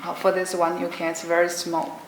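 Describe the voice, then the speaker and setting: A young woman giving an unscripted product demonstration in short, simple, halting phrases. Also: fairly low pitch, completely flat delivery. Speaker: product demonstrator for an electronics brand. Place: indoor room at a table with green backdrop. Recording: roomy echo, clearly noisy background.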